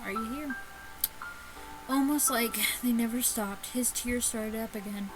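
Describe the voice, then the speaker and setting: A woman hosting a gaming video, reading a visual novel aloud in a casual, halting way with stumbles and asides. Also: fairly high pitch, music in the background, low-quality recording. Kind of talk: casual chat and read-aloud let's play.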